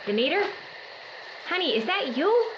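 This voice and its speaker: A woman's voice: very high-pitched, sing-song, moderate pace. A woman playing a breezy, motherly, folksy forager in an audio drama. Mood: breezy, reassuring. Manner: folksy, breezy, matter-of-fact. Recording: muffled, noisy night-time outdoor scene.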